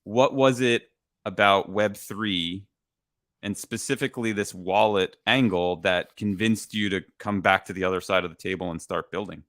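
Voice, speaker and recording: A man's voice: fairly low pitch, moderate pace, a bit bright, dry recording, clean studio sound. A man hosting a podcast, asking casual interview questions in a friendly way over a video call. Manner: friendly, many fillers. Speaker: podcast host.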